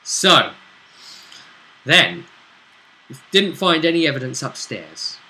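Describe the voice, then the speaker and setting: A man's voice: a little high, big pitch swings, slow, bright, forceful tone, poor audio. A man hosting a casual recap of a tabletop roleplaying session, telling it in a conversational storytelling way. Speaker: host and game master recapping a tabletop session.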